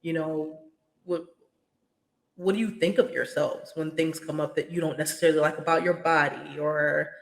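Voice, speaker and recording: Woman podcast host, candid and animated, roomy echo on the voice. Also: low voice, moderate pace, creaky. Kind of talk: casual chat.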